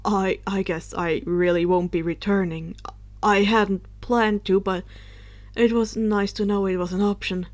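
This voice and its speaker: Woman doing a sad teen wizard boy in a story, super slow, halting, keeps repeating himself, down on himself. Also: medium pitch, steady tone, pitched below the performer's own voice, lightly acted. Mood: dejected. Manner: halting, repeating words, dejected. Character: Harry, dejected self-blaming teenage wizard boy.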